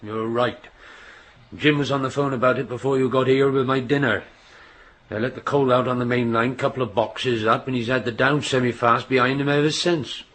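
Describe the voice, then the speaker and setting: An actor playing a gruff, teasing middle-aged railway signalman and bossy father with a West Country accent in a radio drama. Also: mid-range voice, fairly even intonation, normal speed, fairly bright tone, lightly acted. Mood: matter-of-fact, grumbling. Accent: English West Country. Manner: gruff, chiding, colloquial working man's talk. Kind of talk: naturalistic radio drama acting. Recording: radio play with background noise and ambience.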